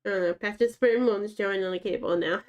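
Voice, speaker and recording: A woman's voice: mid-range voice, fairly quick, highs cut off. A woman with a naturally scratchy voice chatting casually to herself and her pets in the game as she plays. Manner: rambling, chatty, talks to herself and the game's dogs. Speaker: game streamer. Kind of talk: casual gameplay commentary.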